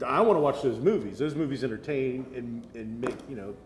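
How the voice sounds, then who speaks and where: A man's voice: medium pitch, brisk, a little mellow. American guy in his 30s chatting like a show cohost, explains everything, echoey room w faint noise. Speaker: co-host of a geek culture show. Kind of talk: casual review chat. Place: medium-sized indoor room, seated at a table. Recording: roomy echo with faint background noise.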